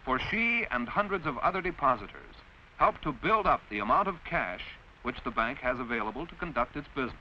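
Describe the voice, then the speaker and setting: An American man narrating a 1940s instructional film in a measured, explanatory way with clear enunciation. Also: mid-range voice, expressive. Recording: dull, hissy 1940s film soundtrack.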